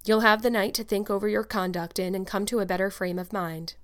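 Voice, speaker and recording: A strict guardian woman in her fifties from a classic storybook, voiced by a woman reader. She is firm and dry, and she reproves in measured, no-nonsense phrases. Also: medium pitch, fairly even intonation, a bit bright, close to an everyday voice. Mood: dry reproof.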